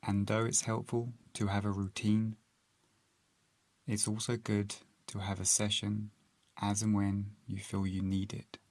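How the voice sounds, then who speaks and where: A man with a low, very even voice leads a guided meditation in a calm, gentle manner. He speaks very slowly, leaving long pauses between measured phrases. The sound is close and dry. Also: no room sound.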